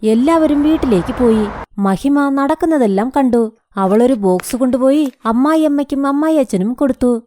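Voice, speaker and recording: High-pitched woman storyteller. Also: expressive, normal speed, mellow, slight background noise. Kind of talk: scripted moral story narration.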